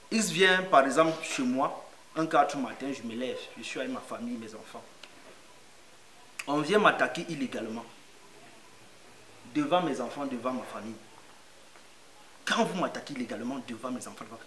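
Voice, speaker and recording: Bright, forceful male seminar speaker, echoey. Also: fairly high pitch. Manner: emphatic, repeats phrases. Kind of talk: teaching with practical examples and rhetorical questions. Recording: echoey room.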